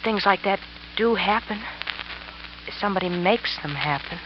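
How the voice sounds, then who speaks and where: An actress playing a greedy, scheming married woman in 1940s radio drama style, with a breathy, coaxing, hushed manner. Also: mid-range voice, normal speed, background noise, lightly acted. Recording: dull old radio recording.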